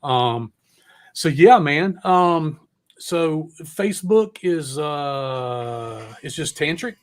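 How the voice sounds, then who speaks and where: A man's voice: on the high side, animated, extremely slow. A man hosting a casual, rambling chat in a folksy, laid-back, profane way. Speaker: podcast host.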